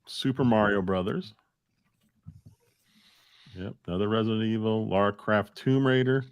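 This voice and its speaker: A man's voice: fairly low pitch, normal speed, muffled recording, a little hiss or hum. A man in his fifties hosting a video game podcast in a casual, chatty way. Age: 50s. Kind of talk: casual chat.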